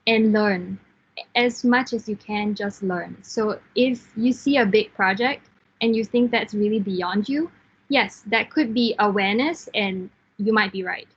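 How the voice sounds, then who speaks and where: Woman, from Singapore, husky voice, frank and encouraging, dull call audio. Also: medium pitch. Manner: frank, encouraging, many fillers and restarts, laughs easily. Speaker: guest speaker, software engineer team lead. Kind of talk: casual advice talk in an online class.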